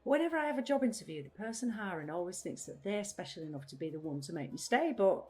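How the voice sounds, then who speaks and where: A woman's voice: medium pitch, sing-song, fast, a little mellow, close to an everyday voice. Actress as a blunt, matter-of-fact woman, music behind. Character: blunt, restless, literal-minded adult woman from Yorkshire. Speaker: actor in audio play. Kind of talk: audio drama dialogue.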